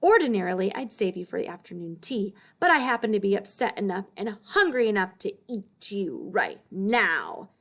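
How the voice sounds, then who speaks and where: A woman's voice: medium pitch, sing-song, normal speed, hardly any pauses. A woman voicing an angry, vain male lion from a children's storybook, bossy and fretful, given to exclaiming. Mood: menacing. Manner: yelling, exclamatory, demanding.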